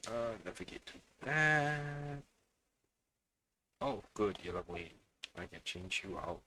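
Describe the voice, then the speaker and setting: A man's voice: on the low side, animated, slow pace, bright, forceful tone, slight background noise. A man doing casual let's play commentary on a livestream, often muttering to himself as he plays. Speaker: game livestreamer.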